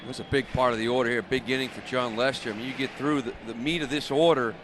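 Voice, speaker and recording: A male color commentator breaks down the game in a conversational way, with a mid-pitched voice. Faint stadium ambience sits behind him in the booth. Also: steady tone, fast. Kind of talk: conversational live game analysis. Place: broadcast booth at an outdoor ballpark. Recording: faint background noise of ballpark.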